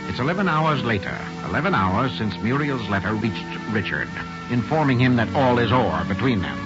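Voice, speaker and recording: A man sets the scene as narrator of an old radio play, deliberate and theatrical. His medium-pitched voice sits over loud music on a dull recording. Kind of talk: scripted 1940s radio drama narration.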